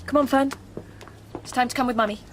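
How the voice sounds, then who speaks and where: Radio drama: actress as guarded, weary, loving mum of a small girl, lightly high voice, faint background noise. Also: fairly even intonation, quick pace, natural voice. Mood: tender. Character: tired, guarded, loving single mother of young girl.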